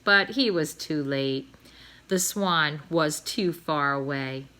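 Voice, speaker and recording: A woman's voice: sits low, slow pace, pushed and bright. A woman reading a children's novel aloud expressively, dramatizing the action as a storyteller. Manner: dramatic, with emphasized sound words and brief asides. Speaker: storybook reader.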